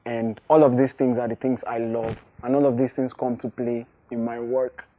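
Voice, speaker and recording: A man's voice: mid-range voice, little pitch movement, normal speed, mellow. A young Nigerian man with a Nigerian accent, a native Igbo speaker and hip-hop artist, giving thoughtful interview answers with frequent restarts and fillers.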